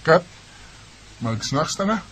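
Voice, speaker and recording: A man's voice: on the high side, sing-song, slow pace, fairly bright tone, breathy edge, soft background music, full character voice, poor audio. A man playing an eager, smitten young student in a Bisaya Filipino radio romance, talking casually and coaxingly. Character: eager, smitten young male student courting his friend.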